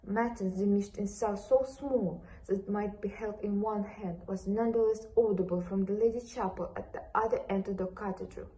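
A young woman reads a novel passage aloud indoors. Her voice is mid-pitched with a warm, mellow tone. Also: fairly even intonation, moderate pace, few pauses, rough recording. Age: young adult. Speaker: student reader. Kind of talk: reading literary prose aloud. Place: indoor room beside a large screen.

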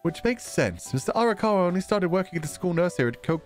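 A man's voice: high voice, very animated, quick pace, faint music behind. A man livestreaming a game, playfully commenting and reading dialogue aloud with a theatrical, joking manner. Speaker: streamer.

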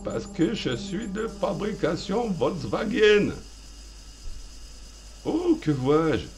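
A man's voice: high voice, sing-song, extremely slow, darkish tone, soft background music, voice pushed up, full character voice. A man voicing a small male robot that narrates its own fairy-tale awakening in a mock German accent. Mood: storybook.

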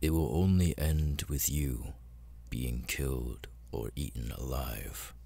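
A man voicing a cold, arrogant immortal demon lord in an ASMR roleplay, speaking with deliberate, condescending menace. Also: warm tone, no echo, heavily acted. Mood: cold threatening. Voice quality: very deep, gravelly, husky. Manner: slow, deliberate, condescending, with long pauses.

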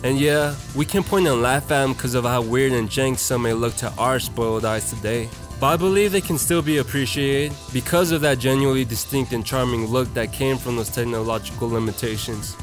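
A man's voice: medium pitch, brisk, few pauses, faint music behind. A young man narrating a casual, slangy video essay in an irreverent, conversational, profane way.

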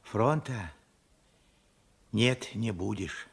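Radio drama elder, late seventies: husky, heavy creak, reflective, with swooping intonation. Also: male voice, fairly low pitch, lively intonation, extremely slow, exaggerated voice. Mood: blunt. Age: 70s. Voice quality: gravelly, husky. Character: weary, frank 78-year-old Russian worker recalling his life. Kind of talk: Soviet radio drama acting.

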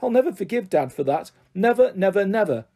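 A woman's voice: mid-range voice, big pitch swings, fairly quick, dark tone, breathy edge, voice pushed up. A woman voicing a stubborn, pleading, emotional young girl in a storybook. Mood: upset, shaky.

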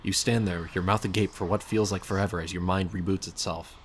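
A man's voice: sits low, fairly even intonation, a little fast, slight background noise. A man reading scripted second-person fan fiction as a dramatic storyteller. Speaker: narrator.

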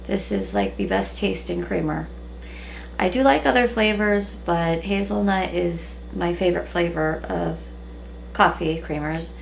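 A woman in her thirties chatting casually in a relaxed way with many ums, recorded at home on a camera at a table. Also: fairly low pitch, measured pace, gravelly. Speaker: vlogger. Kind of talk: casual haul video chat. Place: small room at home, indoors. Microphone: camera in front at table. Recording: noisy home webcam recording.

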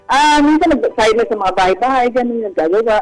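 A woman calling a radio advice show, telling a personal story casually in halting, fragmented phrases. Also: on the high side, expressive, poor audio. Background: faint music.

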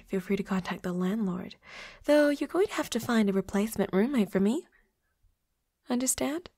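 A woman's voice: fairly high pitch, a little mellow. A woman voicing a flirty, playfully predatory vampire roommate in an intimate audio roleplay, with a coy, teasing manner. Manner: coy, giggly, teasing, drops into breathy whispers.

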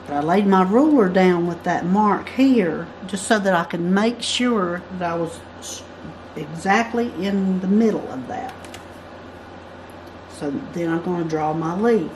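A woman with a fairly low voice talks through a drawing lesson, explaining each step in a chatty way as she works. She is recorded indoors at a work table by a camera above it. Faint background noise sits under the voice. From United States. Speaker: craft instructor. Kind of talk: drawing tutorial.

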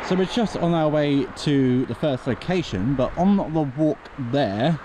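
A man's voice: fairly high pitch, animated, measured pace. Dry-humoured Englishman vlogging outdoors, some background noise. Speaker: vlog host. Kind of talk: casual vlog chat, walking outdoors. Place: outdoors on village roads. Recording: outdoor street noise, passing vehicles.

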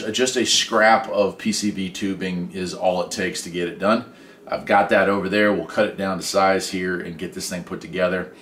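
Casting: American man, middle-aged, lowish voice, chatty DIY vlog host. Distant mic, slight background noise. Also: a bit bright. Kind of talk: casual hands-on demonstration vlog. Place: indoor home workshop and garage. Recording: echoey room, distant mic, faint noise.

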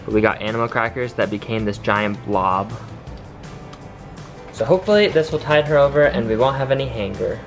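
A man's voice: medium pitch, fairly quick. A man in his twenties narrating a casual road trip vlog to a handheld camera. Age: 20s. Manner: easygoing, chatty, matter-of-fact. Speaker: travel vlogger. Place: car and motel room. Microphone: handheld camera at arm's length. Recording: music playing underneath.

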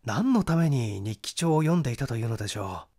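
A man acts out a sceptical gentleman in a mystery audiobook. He is polite but assertive, arguing in a mid-pitched voice with lively rises and falls. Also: brisk. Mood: sceptical, firm. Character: sceptical, firm man insisting on a suspect's guilt. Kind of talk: audiobook mystery story character acting.

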